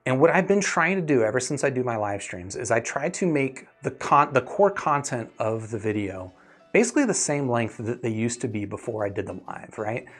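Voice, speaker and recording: A man hosts a casual live stream in a mid-pitched voice, with music playing behind him. He explains his thinking conversationally, as if chatting with his viewers, and often checks in with them. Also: big pitch swings, brisk. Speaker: host of an earphone review live stream.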